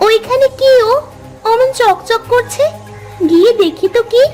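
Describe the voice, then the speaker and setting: A woman's voice: very high voice, a little slow, darkish tone, faint music behind. A woman voicing a sweet, wistful young village girl in a cartoon fairy tale. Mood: curious.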